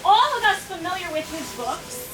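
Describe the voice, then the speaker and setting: A woman's voice: very high-pitched, very animated, normal speed, music in the background. A woman playing an eager, courteous presenter in a staged reading, speaking in a formal, declamatory way. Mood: solemn, reverent. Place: indoor room at a staged reading. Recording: roomy, distant mic, noisy live hall recording.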